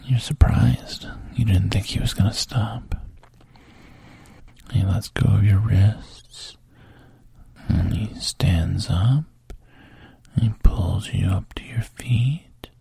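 A man narrating a second-person story in a slow, breathy, intimate whisper. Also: fairly low pitch, mellow, dry recording.

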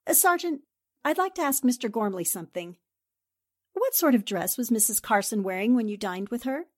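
An actress playing a sharp-witted newspaper reporter who lays out her deductions in a poised, precise way. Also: fairly high pitch, expressive, fairly quick. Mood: deducing. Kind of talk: amateur audio drama acting.

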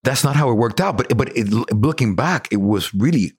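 A man in his sixties from Cuba with a Cuban accent, an actor telling stories in a casual chat, animated and laughing often. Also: medium pitch, brisk, breathy edge, no room sound, studio quality. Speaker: actor guest.

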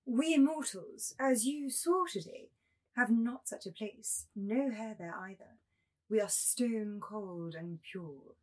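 A woman's voice: medium pitch, slow. A woman playing a haughty, prim immortal nymph in a theatrical literary reading. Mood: haughty, aloof. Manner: lofty, theatrical, indignant when offended.

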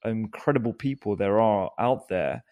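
A man's voice: fairly low pitch, expressive, normal speed, a little mellow. A man hosting a podcast interview in a living room, chatty and laughing often, with frequent restarts. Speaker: podcast host. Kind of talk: casual interview chat.

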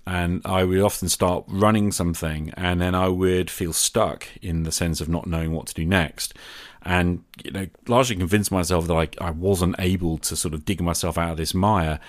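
Deep-voiced man hosting a reflective podcast. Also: steady tone. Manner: deliberate short phrases with rhetorical questions. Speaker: podcast host. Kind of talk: reflective solo podcast monologue.

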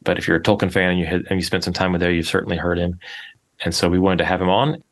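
A man's voice: low-pitched, steady tone, rapid-fire. A man hosting a podcast interview, introducing his guest with many hesitations and fillers. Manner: hesitant, filler-heavy speech. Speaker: podcast host.

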